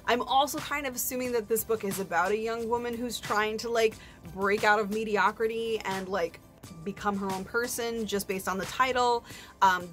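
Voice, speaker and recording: A woman's voice: mid-range voice, a bit bright. Casual female book vlogger over background music. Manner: informal and self-deprecating, with slangy asides. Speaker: book review host. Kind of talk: casual, chatty solo book review.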